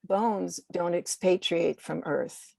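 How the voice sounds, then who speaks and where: A woman's voice: fairly low pitch, slow pace, vocal fry, a little mellow, no echo. A woman poet speaking reflectively and a little hesitantly as a podcast guest, talking about literature and reading.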